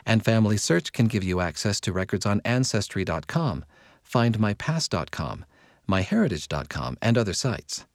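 Low-voiced man reading scripted narration. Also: big pitch swings, normal speed, no room sound, studio quality. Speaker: narrator reading a magazine article.

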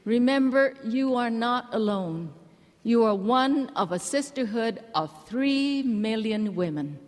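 Slow, earnest woman in a reverberant hall. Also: on the high side, lively intonation. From Hawaii. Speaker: church speaker. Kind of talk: measured address to a women's conference.

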